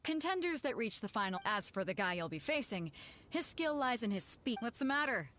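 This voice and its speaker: A woman voicing a brisk, teasing young woman in a video game. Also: fairly high pitch, very animated, fairly bright tone, slight background noise. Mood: teasing, informative. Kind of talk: video game character dialogue.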